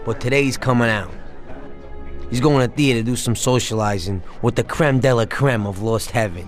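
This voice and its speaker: A man's voice: medium pitch, fairly quick, natural voice. An actor playing a confident, scheming mobster, matter-of-factly briefing his crew in a game cutscene. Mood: confident, businesslike. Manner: matter-of-fact, streetwise. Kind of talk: crime game cutscene acting. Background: music.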